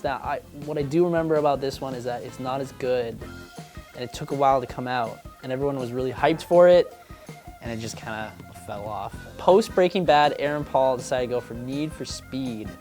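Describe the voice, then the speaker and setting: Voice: mid-range voice, a little mellow. A reviewer giving casual off-the-cuff verdicts in a joking, sweary, exasperated way.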